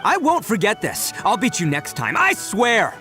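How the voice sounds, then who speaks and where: An actor playing a brash, big-hearted young gang leader in a video game, boisterous and colloquial with boyish bravado. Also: male voice, high-pitched, huge pitch swings, normal speed, pushed and bright, heavily acted. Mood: dismayed. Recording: faint game music behind.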